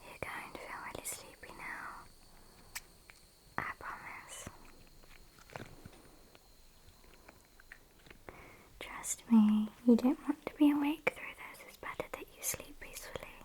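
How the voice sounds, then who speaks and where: A British woman voicing a sassy, morbid female vampire in a soft, breathy whisper for an ASMR roleplay. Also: fairly high pitch, lightly acted, rough recording. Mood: soothing, hypnotic. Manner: slow, hushed, matter-of-fact, mildly scornful. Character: sassy, morbid female vampire kidnapper tending her victim. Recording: hissy close-up whisper recording.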